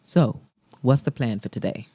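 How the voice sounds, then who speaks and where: A woman voicing an easygoing, flirtatious man with a playful, smooth-talking manner in a romance audiobook. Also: extremely low pitch, moderate pace, dark tone, no echo, studio quality, voice pushed down. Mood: teasing. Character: easygoing, teasing, flirtatious male saxophonist, adult charmer.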